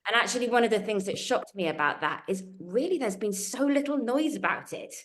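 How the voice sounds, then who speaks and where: A woman's voice: a little high, lively intonation, fairly quick, fairly bright tone. A woman speaking as a panelist on a webinar over a video call, earnest and emphatic, building her points in lists. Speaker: panelist from a culture change consultancy.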